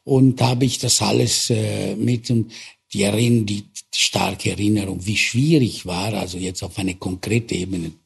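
Older Italian man, deep gravelly voice. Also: steady tone. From Italy. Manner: halting, searching for words, many restarts. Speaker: interviewee. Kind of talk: personal recollection.

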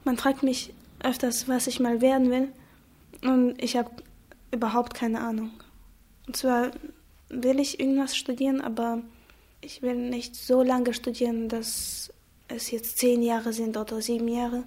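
Soft-spoken young woman, flat delivery. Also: fairly high pitch. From Chechnya. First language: Chechen. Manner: reflective, soft-spoken, hesitant with frequent pauses. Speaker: interviewee. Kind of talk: personal testimony.

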